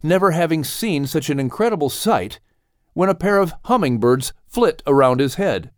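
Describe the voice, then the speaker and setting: A man narrating an audiobook with dramatic, expressive storytelling. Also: a little high, moderate pace, dry recording, clean studio sound.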